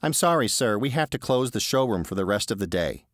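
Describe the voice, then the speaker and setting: A man's voice: on the low side, animated, a little fast, no echo, studio quality, pitched above the performer's own voice, close to an everyday voice. A man voicing a polite, suspicious female receptionist who speaks in a pointed way. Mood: prim.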